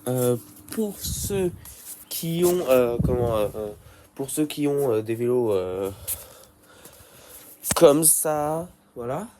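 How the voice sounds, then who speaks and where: A man's voice: mid-range voice, animated, slow, long pauses, mellow. Man, head cold, casual bike repair how-to, hesitant, lots of fillers, noisy recording. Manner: hesitant and rambling, many fillers. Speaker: bike repair tutorial maker.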